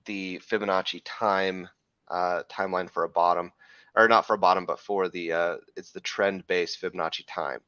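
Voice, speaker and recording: A man hosting a live crypto trading show, talking through chart analysis in a rambling way, correcting himself, with a slightly hoarse voice from losing it. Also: sits low, fairly even intonation, moderate pace, bright, forceful tone, no echo, muffled recording. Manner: rambling, self-correcting, frequent "right?" checks.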